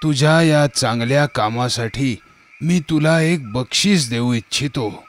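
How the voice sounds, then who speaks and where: Man playing slow-spoken kindly old grandfather. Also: mid-range voice, a little hiss or hum, close to an everyday voice. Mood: grateful, gentle. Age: older. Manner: slow, drawn-out, affectionate. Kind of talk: children's fairy-tale cartoon acting.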